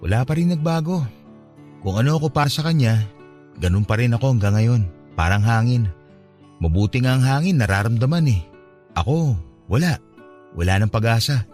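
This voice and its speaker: A Filipino man playing a gentle, resigned young ex-boyfriend in a radio romance drama, subdued and plain-spoken. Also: medium pitch, lively intonation, normal speed, pauses often, soft background music, natural voice. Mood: resigned, bitter.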